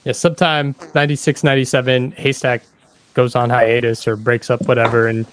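A man's voice: medium pitch, level tone, fairly quick, dry recording, rough recording. A man hosting a podcast, interviewing in a casual, informal way and affirming what the guest says.

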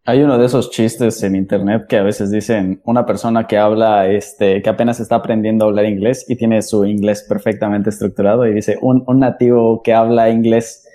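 A man's voice: on the low side, level tone, fairly quick, few pauses, dark tone. A man hosting a casual chat, laughing along and musing aloud.

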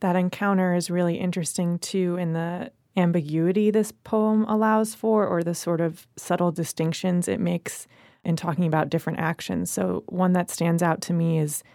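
Female literary panelist, low and creaky, measured analytical delivery. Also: flat delivery, mellow, dry recording, clean studio sound. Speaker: poetry magazine editor, podcast panelist.

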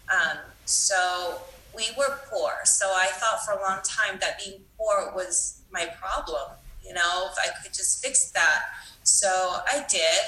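A woman's voice: medium pitch, sharp tone, roomy sound, a little hiss or hum, low-quality recording. A woman from Taiwan speaking candidly at a recovery meeting, telling her own story with frequent fillers and self-deprecating humor. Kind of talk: personal recovery talk.

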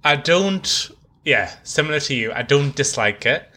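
Bright-voiced man in casual music discussion. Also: on the high side, lively intonation, a little slow. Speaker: podcast co-host.

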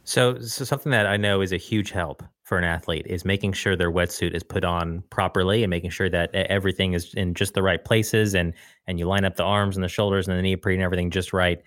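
A man's voice: deep voice, fairly even intonation, quick pace, fairly bright tone, dry recording, clean studio sound. A man hosting a podcast, asking his guests long conversational questions. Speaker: podcast host. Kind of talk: interviewing guests.

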